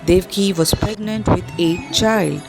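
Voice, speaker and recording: A woman's voice: lowish voice, a little slow, vocal fry. A woman with an Indian accent narrating a school play as dramatic scripted storytelling, over music. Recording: music behind the voice.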